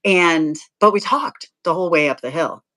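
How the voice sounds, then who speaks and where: Woman, slightly hoarse, chatty, cycling coach, laughs easily. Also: lowish voice, animated, moderate pace, a bit bright. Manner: chatty, laughs often, voice a bit worn from teaching. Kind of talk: casual coaching chat.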